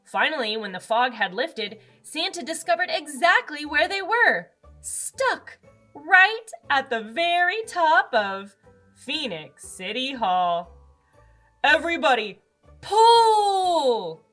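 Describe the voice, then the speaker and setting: Lady reading a kids book aloud, slow n super expressive, highish voice. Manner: expressive, dramatic emphasis. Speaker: children's storybook reader. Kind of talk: animated read-aloud narration for children. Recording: music under the voice.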